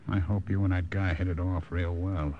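Low-voiced wisecracking American soldier. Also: male voice, little pitch movement, normal speed, narrow, tinny audio. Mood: sardonic. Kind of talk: old-time radio drama acting. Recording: noisy old radio recording.

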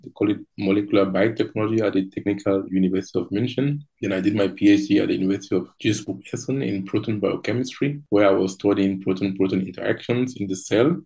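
A middle-aged man from Cameroon with a Cameroonian English accent, a podcast guest telling his life story thoughtfully, with pauses and restarts. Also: lowish voice, darkish tone, low-quality recording. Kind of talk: interview conversation.

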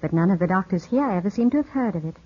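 An actress playing a poised, soothing widowed cousin who coaxes gently, in 1950s radio drama style on an old broadcast recording. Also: female voice, mid-range voice, expressive, very fast, slightly rough. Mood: calm, caring. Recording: muffled 1950s radio broadcast, music behind.

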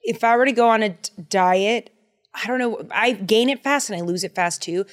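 A young adult woman co-hosting a podcast in casual chat, playful and animated, slipping into little voices. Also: medium pitch, normal speed. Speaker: podcast co-host.

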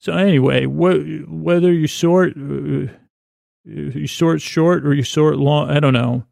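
Creaky-voiced, rambling man. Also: a little high, fairly even intonation, darkish tone, no echo, studio quality. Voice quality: mumbling. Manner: meandering, halting, stammering and repeating words; silly, self-deprecating. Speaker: podcast host. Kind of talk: rambling, whimsical bedtime monologue.

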